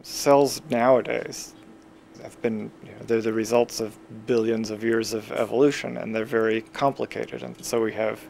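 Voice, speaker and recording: A man's voice: mid-range voice, steady tone, normal speed, vocal fry, a little mellow, a little hiss or hum. A man speaking as an interviewed expert, explaining something spontaneously.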